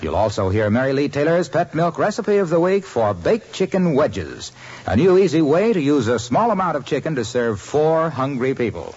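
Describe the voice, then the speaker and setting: A man's voice: a little high, big pitch swings, moderate pace, a little mellow, husky. Polished 1950s radio announcer man. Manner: earnest, polished announcer delivery. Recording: dull old radio broadcast with light hiss.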